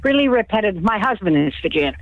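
A woman's voice: medium pitch, sing-song, fast, narrow, tinny audio, noisy background. A woman calling in to a radio show, chatty and upbeat, laughing now and then. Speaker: contest winner caller. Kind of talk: casual phone chat.

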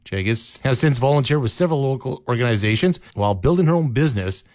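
A man reading scripted local news in a steady newsreader manner, from a radio studio. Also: medium pitch, fast talker, no room sound. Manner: steady, even newsreader delivery. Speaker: radio newscaster.

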